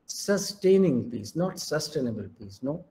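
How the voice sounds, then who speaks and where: Indian man, high, emphatic lecturer. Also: sing-song, a little slow, mellow, poor audio. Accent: Indian English. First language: Hindi. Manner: emphatic, switches between English and Hindi. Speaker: professor giving a keynote lecture. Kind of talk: online academic lecture. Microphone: online video call.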